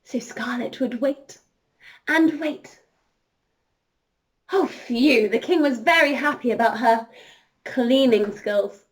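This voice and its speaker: A woman telling an interactive story to young children as a princess entertainer, animated and encouraging, speaking directly to them. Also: fairly high pitch, slow pace, long pauses.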